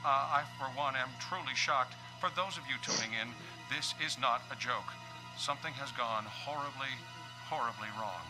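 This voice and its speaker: A man playing a shaken newscaster with an American accent, delivering an emergency bulletin in a formal, halting manner in a video game. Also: medium pitch, natural voice, low-quality recording. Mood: shocked. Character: shaken male newscaster reporting a zombie outbreak. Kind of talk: emergency news bulletin acting. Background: music.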